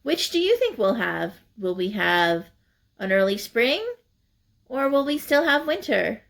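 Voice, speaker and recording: Female children's storyteller, fairly high voice, very unhurried, expressive and emphatic read-aloud delivery. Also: creaky. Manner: deliberate, emphatic, addressing young listeners. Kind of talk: expressive picture-book narration.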